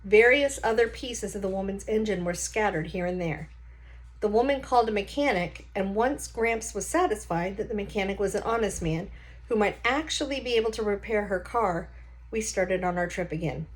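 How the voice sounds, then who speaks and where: A woman's voice: medium pitch, moderate pace, poor audio. A woman reading a children's novel aloud like a teacher, with short explanatory asides.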